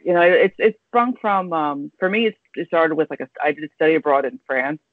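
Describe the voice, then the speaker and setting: Woman in her 30s, podcast host, rambling, halting, tinny phone-like sound, dry. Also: sits low, no echo. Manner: rambling, halting, full of restarts and fillers. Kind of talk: casual interview chat. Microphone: video call. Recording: tinny dry video call audio.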